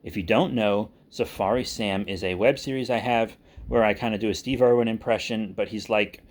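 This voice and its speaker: A man's voice: fairly low pitch, steady tone, normal speed. Candid, self-deprecating man talking off the cuff. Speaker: audio drama creator and channel host. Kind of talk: casual unscripted vlog talk.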